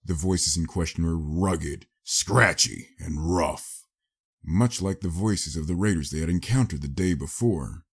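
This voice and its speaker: Deep, husky male story narrator. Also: unhurried. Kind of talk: dramatic scripted story narration.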